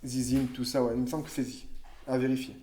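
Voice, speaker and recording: A man's voice: mid-range voice, little pitch movement, fast talker, mellow. A man teaching French grammar to children in a conversational way, thinking aloud and correcting himself as he goes.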